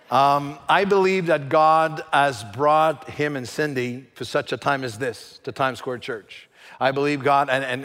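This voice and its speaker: Male preacher, English rusty, stop-start with humor; a bit high-pitched, big reverberant sanctuary. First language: French. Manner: halting, with many fillers and restarts; lively, joking with the congregation. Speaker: guest preacher. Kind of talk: church sermon. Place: large church sanctuary.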